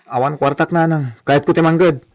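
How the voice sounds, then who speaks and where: A man's voice: a little high, steady tone, brisk, natural voice. A Filipino-accented man playing an aggrieved, stubborn young porter and son in a radio comedy-drama, plaintive and protesting.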